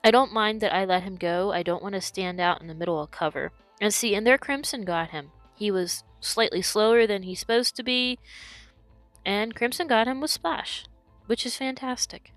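A woman's voice: mid-range voice, moderate pace, a bit bright, dry recording, soft background music. A woman who is sick and losing her voice, explaining her moves as she commentates her own gameplay. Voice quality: hoarse. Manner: explanatory, talks through her decisions.

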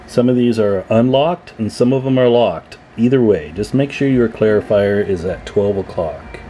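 A man's voice: fairly low pitch, normal speed, dark tone, slight background noise. A man hosting a casual hands-on tutorial as a radio hobbyist, explaining informally step by step at an indoor workbench. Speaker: radio repair hobbyist, video host.

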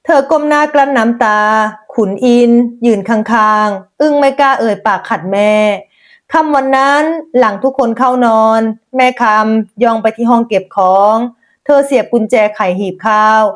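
High-voiced woman narrating a folk tale. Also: moderate pace. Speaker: storyteller.

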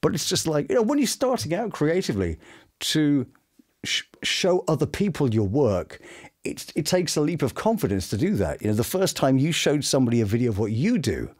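A middle-aged man telling anecdotes casually as a guest, chatty and full of quick asides. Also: fairly high pitch, sing-song, darkish tone. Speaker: voice actor guest. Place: small home studio room, indoors.

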